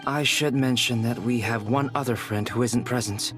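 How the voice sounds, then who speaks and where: A man playing a calm, courteous scholar in a video game, speaking in a measured, polite way. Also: mid-range voice, music in the background, lightly acted. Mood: composed. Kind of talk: video game dialogue acting.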